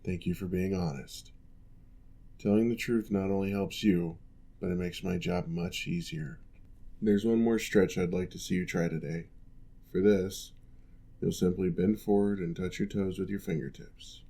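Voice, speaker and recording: A man voicing a former orc general who now works as a patient physical therapist, giving calm, measured instructions in an ASMR roleplay. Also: low voice, vocal fry. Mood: reassuring.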